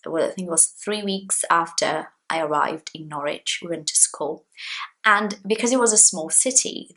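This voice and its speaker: Chatty young woman. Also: fairly low pitch. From Brazil. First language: Portuguese. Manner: chatty, expressive, gestures with hands. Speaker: English teacher. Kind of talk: casual talk to camera. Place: small indoor room at home.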